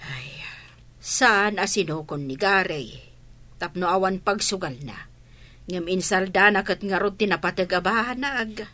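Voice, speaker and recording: A woman's voice: mid-range voice, unhurried, pushed and bright. A woman with an Ilocano accent playing a worried, exasperated middle-aged mother of a grown son in a radio drama.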